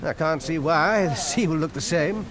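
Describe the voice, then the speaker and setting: An actor in a radio drama playing a wry, defensive social climber who talks hesitantly and politely evades. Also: male voice, on the high side, moderate pace, a crowd in the background, natural voice. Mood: casual. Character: evasive, smooth-talking male social climber, defensive and wry.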